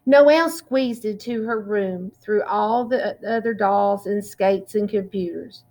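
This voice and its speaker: A woman reading a children's picture book aloud in a deliberate, expressive way, drawing out words. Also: medium pitch. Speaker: children's storytime reader.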